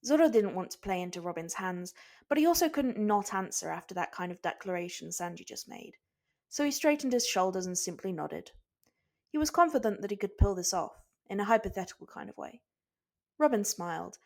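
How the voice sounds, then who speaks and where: A woman's voice: mid-range voice, expressive, a little fast. A woman reading scripted fiction aloud as a podfic narrator.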